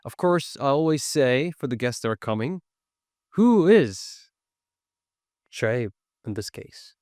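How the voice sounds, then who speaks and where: A man's voice: medium pitch, animated, slow pace, a little mellow, no echo, studio quality. A man hosting a podcast, friendly, putting short questions to his guest. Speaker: podcast host. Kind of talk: casual podcast interview.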